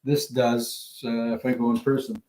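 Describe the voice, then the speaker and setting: A man in his fifties from Canada, giving a casual, unscripted product demonstration in a halting, rambling way. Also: mid-range voice, little pitch movement, darkish tone. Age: 50s. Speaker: hobbyist video host. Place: indoors at home. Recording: home recording with faint background noise.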